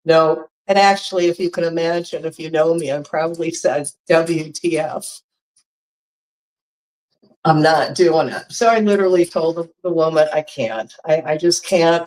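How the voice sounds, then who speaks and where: A woman's voice: sits low, poor audio. A woman recovering from a cold, her voice a little congested, chatting casually as she tells a travel story. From Hawaii. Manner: chatty, animated storytelling with frequent laughs. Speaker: vlogger.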